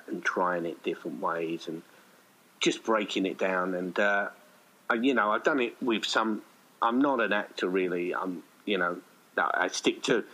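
A man's voice: on the low side, bright, forceful tone, poor audio. A man talking casually as an interview guest, in a rambling, hesitant, self-effacing way. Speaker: writer and director, podcast guest.

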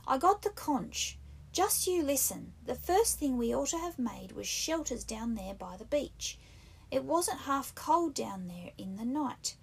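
A woman voicing an anxious, fussy schoolboy who scolds the others indignantly, in husky, rough-grammared speech. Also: high-pitched, big pitch swings, a little slow, voice pushed up, full character voice. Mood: scolding. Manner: indignant, breathless, nagging, with a rough country-boy grammar. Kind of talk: audiobook character reading.

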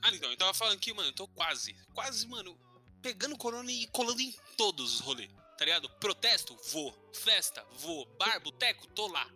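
A young man, co-hosting a podcast and talking in animated, slangy banter. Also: high-pitched, a little fast, soft background music. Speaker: podcast co-host. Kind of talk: casual joking chat.

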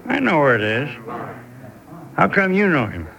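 An actor playing a gruff, no-nonsense small-town American sheriff in a radio drama. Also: male voice, on the high side, huge pitch swings, normal speed, fairly bright tone, slight background noise, full character voice, poor audio. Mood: brusque.

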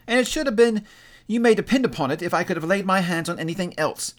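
A man's voice: high voice, expressive, fast talker, pitched above the performer's own voice. A man voicing a brazen, defiant, hard-hearted woman thief in a storybook reading. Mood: scornful, bold. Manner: loud, challenging, working-class plain speech.

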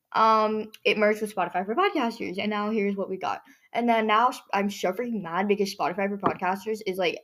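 A teenage girl hosting her own podcast in a rambling casual chat, her voice hoarse and scratchy from overuse. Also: medium pitch, fairly bright tone. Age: teens. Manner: rambling, many fillers and restarts. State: hoarse, strained voice. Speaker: podcast host.

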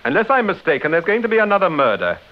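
An actor playing a suave, self-assured British gentleman detective, urbane and decisive, in a radio drama. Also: fairly high pitch, wildly swooping pitch, racing, a little hiss or hum. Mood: brisk, decisive. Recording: dull old radio drama recording.

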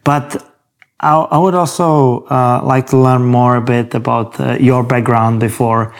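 Halting man hosting a podcast. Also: medium pitch, fairly even intonation. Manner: halting, many uhs when asking. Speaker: podcast host. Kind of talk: scripted intro, then interview chat.

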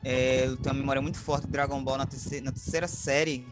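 A man's voice: mid-range voice, level tone, fast, music in the background. A man from Salvador with a northeastern Brazilian accent, giving a casual, conversational account of himself as an interview guest.

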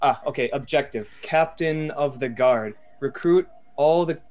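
A man co-hosting a casual online group chat, joking in a deadpan, mock-serious way. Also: on the high side, measured pace. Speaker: podcast co-host. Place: home, far from family.

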